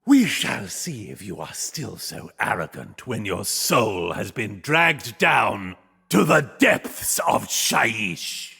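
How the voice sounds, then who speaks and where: Sharp gravelly undead knight, arrogant, pitiless, grandiose, fantasy game villain, forceful delivery. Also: male voice, lowish voice, big pitch swings, slow, exaggerated voice. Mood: scornful. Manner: grandiose, menacing theatrical proclamations.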